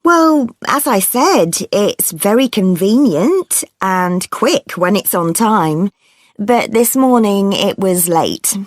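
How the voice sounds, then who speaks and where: Obliging, unhurried woman bus passenger, acted by a woman. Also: mid-range voice, animated, no echo, studio quality. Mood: mildly critical. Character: friendly woman bus passenger answering a survey. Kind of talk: scripted everyday dialogue for a listening test.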